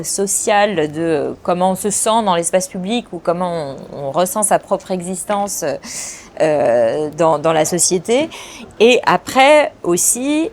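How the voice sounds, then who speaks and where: A woman's voice: mid-range voice, slight background noise. A woman in her forties, a film director, answering interview questions thoughtfully with frequent hesitations and restarts.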